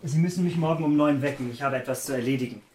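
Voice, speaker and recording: An actor playing an elegant young gentleman in a German radio drama, commanding and coldly urgent in a polite, theatrical manner. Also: mid-range voice, rapid-fire, very noisy, natural voice. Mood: curt, preoccupied.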